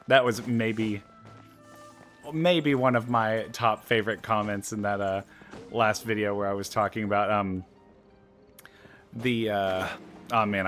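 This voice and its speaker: A man's voice: lowish voice, a bit bright. A man giving casual gameplay commentary, chatty and rambling as he thinks aloud. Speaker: let's play streamer.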